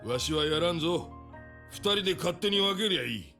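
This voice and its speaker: An actor playing a gruff, stubborn, impatient old grandfather in a Japanese anime game, grumbling in old-fashioned elderly speech. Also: a little high, lively intonation, rapid-fire, pushed and bright, faint music behind.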